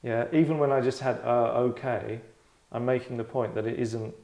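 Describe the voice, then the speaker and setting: Man, host and director, deadpan, rambling, self-satisfied, casual tongue-in-cheek critique, medium pitch, echoey room. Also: moderate pace. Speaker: host and director of acting table read. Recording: roomy, echoey indoor sound.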